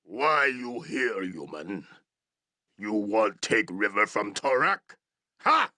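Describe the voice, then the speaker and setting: An actor playing a brutish, boastful orc chieftain in a fantasy video game, growling threats in broken English. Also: male voice, on the low side, big pitch swings, takes it slowly, bright, forceful tone, no echo, studio quality, exaggerated voice. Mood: menacing. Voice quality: gravelly, growling.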